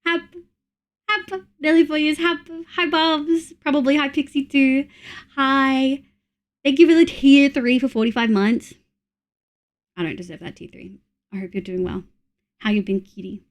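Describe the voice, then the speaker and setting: A young woman with a slightly high voice talks casually with her viewers, thinking aloud with little fillers. She sounds a bit congested from a cold. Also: fairly even intonation, measured pace, pauses often. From Australia. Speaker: streamer. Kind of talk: casual chat reading viewer messages.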